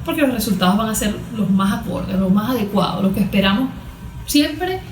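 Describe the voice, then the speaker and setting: A woman's voice: mid-range voice, expressive, brisk, noisy background. A Venezuelan woman explaining things as a guest therapist in a conversational interview, often voicing little imagined examples. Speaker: guest expert, cognitive therapist. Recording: echoey, roomy sound.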